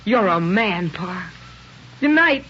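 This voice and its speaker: A man's voice: high-pitched, animated, takes it slowly, pushed and bright, exaggerated voice. A male actor playing an earnest, eager sixteen-year-old American boy in a 1940s radio drama style. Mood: grateful, excited. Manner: earnest, boyish. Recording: muffled, hissy vintage radio broadcast.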